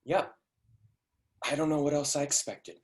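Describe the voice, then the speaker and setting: A man's voice: on the high side, normal speed, lightly acted. A man acting an affectionate but impatient young secret lover in a staged play reading, casual and intimate. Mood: frustrated.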